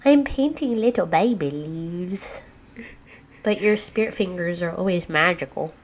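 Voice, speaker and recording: A woman's voice: on the low side, expressive, unhurried, slight background noise. Congested woman podcaster, short replies. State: heavily congested. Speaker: podcast co-host. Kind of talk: casual podcast chat.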